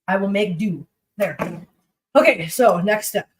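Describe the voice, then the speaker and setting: A woman's voice: medium pitch, lively intonation, a little slow, distant mic, a little hiss or hum. A woman hosting a livestream from her home kitchen, chatting casually while cooking. She sounds congested and rambles, talking to her pets and viewers.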